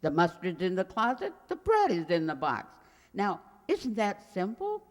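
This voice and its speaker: A woman's voice: medium pitch, huge pitch swings, normal speed. A woman playing a sweet, forgetful elderly landlady who dithers and rambles in a radio comedy. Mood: earnest, dithering. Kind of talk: radio comedy acting, old-time sitcom revival. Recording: very reverberant hall sound.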